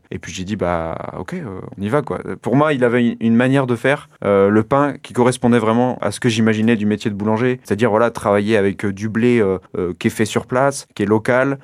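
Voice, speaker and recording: A man's voice: fairly low pitch, a little fast, few pauses, no echo, clean studio sound. A man in his late twenties from western France, telling his own story as an interview guest in informal, colloquial speech. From Maine-et-Loire, western France. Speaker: interview guest, artisan baker.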